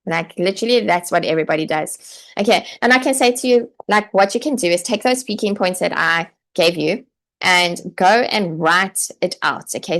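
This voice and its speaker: Woman teaching coach, casual live-stream delivery, a bit low, some vocal fry. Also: a bit bright. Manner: chatty, with frequent "okay" and "like"; encouraging, direct advice. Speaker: online English teacher and coach.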